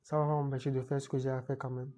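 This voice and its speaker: A man with a head cold walks through a computer setup in an unscripted screencast tutorial. He stumbles and repeats words, pausing often to check in with the viewer. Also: medium pitch, level tone, dark tone. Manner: halting, many repeated words and restarts. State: has a cold, clearing throat. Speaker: IT tutorial presenter.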